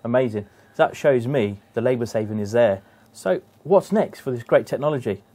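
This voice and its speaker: A man presenting and interviewing shop owners in brisk, upbeat questions. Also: mid-range voice, lively intonation, dark tone. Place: shops and community hall, indoors.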